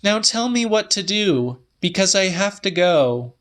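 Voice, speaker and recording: A man's voice: high voice, sing-song, measured pace, a bit bright, pitched above the performer's own voice, full character voice. A man voicing a tearful, impatient young woman in a dramatic audiobook, plaintive and snappish. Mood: exasperated.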